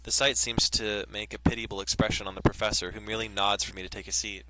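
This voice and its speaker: A man's voice: lowish voice, little pitch movement, fast talker, very noisy. A man hosting a let's play, reading game text aloud and cracking crude jokes between lines. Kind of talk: casual gameplay commentary and reading aloud.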